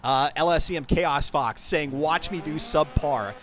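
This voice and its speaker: A man's voice: a little high, measured pace, a bit bright, faint music behind. A man hosting a racing live stream from his computer, chatting casually, thanking viewers and rambling through asides. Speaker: streamer and race host. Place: home setup at a computer.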